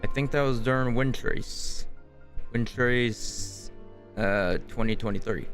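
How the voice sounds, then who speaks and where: A man's voice: medium pitch, takes it slowly, music in the background. A man streaming a game, making casual, joking comments and reading lines aloud.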